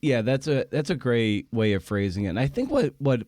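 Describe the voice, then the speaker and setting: A man's voice: mid-range voice, big pitch swings, a little fast, darkish tone, no room sound. A man hosting a podcast in casual, candid talk, rambling and self-deprecating with frequent false starts. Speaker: podcast host.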